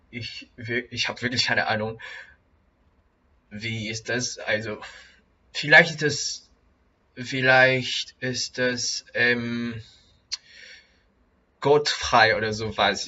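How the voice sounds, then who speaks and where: A young man who is not a native speaker talks slowly and haltingly on a home video, often stopping to restart a phrase. His voice is bright and a little pushed forward, and the recording sounds dull and lo-fi. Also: medium pitch. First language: not German. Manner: halting, many restarts and fillers. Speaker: vlogger, German learner. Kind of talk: casual learner vlog.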